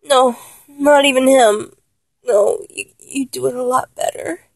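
Woman playing a high, breathy, needy male lover. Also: fairly even intonation, no room sound, full character voice. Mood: pleading. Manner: halting, sighing, slipping into whispers. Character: needy, teasing male lover, pleading and bossy by turns. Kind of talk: intimate adult audio roleplay.

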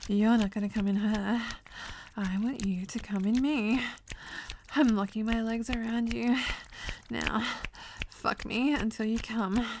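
Casting: actress for bossy, dirty-talking dominant woman, erotic roleplay audio, unhurried delivery, faint background noise. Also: on the high side, steady tone, a little mellow. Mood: bossy, lustful. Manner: crude, commanding, breathy dirty talk. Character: brash, bossy, foul-mouthed woman, sexually dominant.

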